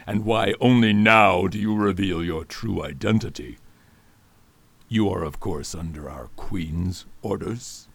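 An actor playing a scheming male doctor and poisoner in a radio drama, formal and quietly menacing. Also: low voice, unhurried, creaky, slightly rough, no room sound, exaggerated voice. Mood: intrigued.

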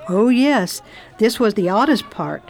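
A woman's voice: medium pitch, lively intonation, a little slow, darkish tone. Genteel, curious lady traveller acted by a woman. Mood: reflective narrating. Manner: polite, inquisitive, exclamatory. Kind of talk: radio drama acting and narration. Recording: faint background noise, ambience.

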